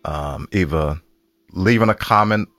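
A man's voice: sits low, measured pace, a bit bright, no echo, faint music behind. A man co-hosting a podcast, chatting casually in an easygoing, bantering way. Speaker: podcast co-host.

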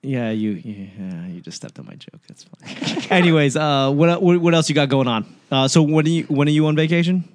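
A man's voice: fairly high pitch, expressive, moderate pace. Male podcast host, loose and profane, teasing banter. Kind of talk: casual podcast chat.

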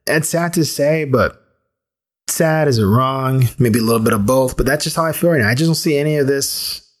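A man's voice: medium pitch, moderate pace. A man in his thirties hosting a solo podcast, talking candidly and thinking aloud with restarts and the odd swear word. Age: 30s. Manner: casual with occasional swearing. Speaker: podcast host. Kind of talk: solo reflective podcast monologue.

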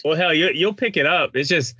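A man's voice: on the high side, brisk, pushed and bright, husky, no room sound, lo-fi sound. A man from Texas, hosting a casual podcast chat and laughing often as he rambles. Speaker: podcast host.

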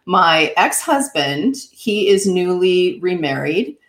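A woman in her thirties from the northeastern United States, telling her story as an interview guest, animated and laughing often. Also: on the low side, slow pace. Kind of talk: conversational interview. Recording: echoey room.

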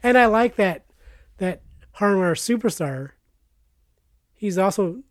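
A woman's voice: mid-range voice, animated, slow pace, no echo, clean studio sound. A woman co-hosting a podcast, chatting in casual, conversational banter. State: tired, yawning. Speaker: podcast co-host.

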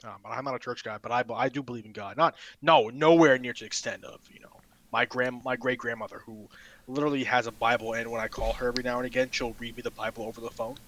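Young guy talking fast on a podcast, bright punchy voice, gets excited and laughs a lot. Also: mid-range voice, poor audio. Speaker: podcast guest. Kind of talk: casual chat.

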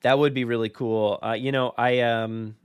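A man's voice: medium pitch, fairly even intonation, normal speed, clear, resonant tone, no room sound. A man hosting a podcast in casual conversation, rambling along in a self-deprecating way. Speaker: podcast host.

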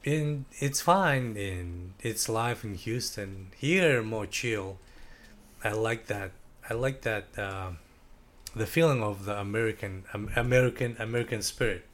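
Russian-accented man, halting English. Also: lowish voice. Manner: halting learner's English, searching for words. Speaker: guest. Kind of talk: casual podcast chat.

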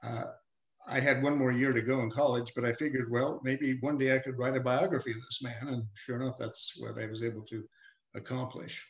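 An older man, a retired professor, answering interview questions in a measured, hesitant way with many pauses and fillers. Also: mid-range voice, level tone, roomy sound, highs cut off. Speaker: emeritus professor, guest.

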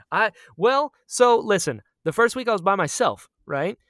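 Lively, high-pitched man in podcast banter. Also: no room sound, studio quality. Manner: joking, self-deprecating, laughs often. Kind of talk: casual chatty banter.

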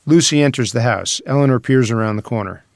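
A man's voice: mid-range voice, fast talker, no echo, studio quality. A man reading stage directions as scripted narration for a screenplay table read.

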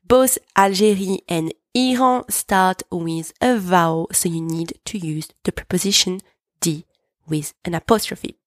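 A woman hosting a podcast lesson, patiently explaining and repeating French examples slowly. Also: lowish voice, no echo, clean studio sound. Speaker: French teacher, podcast host.

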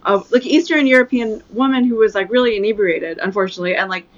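A woman's voice: medium pitch, fast talker. A woman whose first language is Japanese, in a loose, rambling chat. She fills her sentences with "like" and "um" and restarts often. The recording sounds a bit dull and lo-fi. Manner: rambling, many fillers and restarts. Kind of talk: casual chat.

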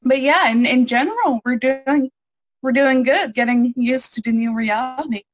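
A woman's voice: sits high, normal speed, dry recording, rough recording. A woman, answering interview questions casually with frequent ums and restarts. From Netherlands. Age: 20s. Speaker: interview guest. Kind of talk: casual interview over a call.

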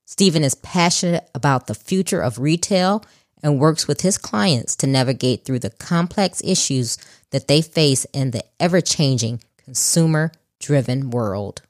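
A woman's voice: deep voice, expressive, a little slow, no echo, studio quality. A woman from Chicago, hosting a podcast, reading an upbeat intro and then chatting casually with her guest. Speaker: podcast host.

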